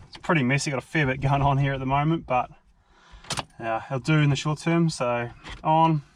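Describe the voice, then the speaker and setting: An Australian man with an informal, laid-back manner, talking casually through a hands-on product review. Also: fairly high pitch. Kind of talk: casual hands-on vlog narration. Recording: clearly noisy amateur vlog audio.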